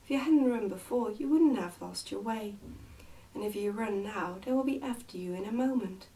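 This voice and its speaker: A woman's voice: mid-range voice, normal speed, dark tone, distant mic, close to an everyday voice, low-quality recording. A woman voicing a plain-spoken, confident young miner boy in a storybook, frank and helpful. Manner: frank, matter-of-fact.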